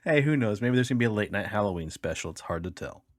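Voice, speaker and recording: A man's voice: on the low side, lively intonation, fast talker, no room sound, studio quality. A man with an American accent hosting a casual comedy podcast. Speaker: podcast host.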